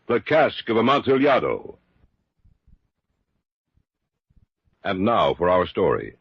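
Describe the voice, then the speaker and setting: An actor serving as the ominous host of a supernatural radio anthology, announcing in a portentous, formal, dramatic old-time style. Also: male voice, sits low, exaggerated voice. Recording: muffled old radio recording.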